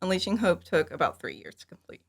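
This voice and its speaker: A woman's voice: on the low side, wildly swooping pitch, fast talker, gravelly. A woman talking casually and informally as an interview guest, chatty and prone to acting out her own remarks. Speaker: podcast guest, fan audio drama creator.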